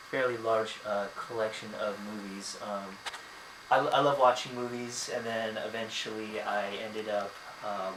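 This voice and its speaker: A young man from America talking casually to camera in his bedroom, hesitant and full of ums and uhs. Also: on the low side. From United States. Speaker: vlogger. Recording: echoey room, noisy background.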